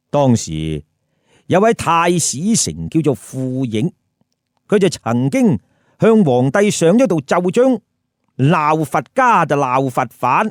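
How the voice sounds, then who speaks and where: Male storyteller, lively swings in pitch, unhurried delivery, chatty comic commentary with rhetorical questions. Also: no room sound, clean studio sound. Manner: colloquial, rhetorical questions to listeners. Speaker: storyteller, host.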